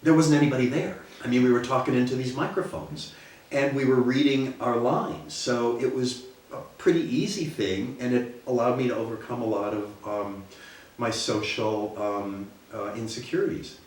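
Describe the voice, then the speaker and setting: A man from Minneapolis who runs a theater, talking thoughtfully and pausing to find his words. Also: medium pitch, steady tone, darkish tone. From Minneapolis, USA. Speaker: theater owner. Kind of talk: reflective interview talk. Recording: echoey room, distant mic.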